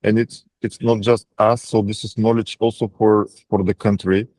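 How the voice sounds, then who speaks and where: A man with a Bulgarian accent answering as a company executive on an earnings call, haltingly and often repeating words mid-sentence. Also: lowish voice, level tone, darkish tone, no room sound. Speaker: defense practice lead at a technology company.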